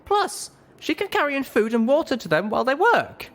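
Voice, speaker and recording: A man voicing an eager young female pony, plucky and clever, in an excited girlish delivery. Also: very high voice, fairly quick, pitched above the performer's own voice, full character voice. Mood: eager, urgent.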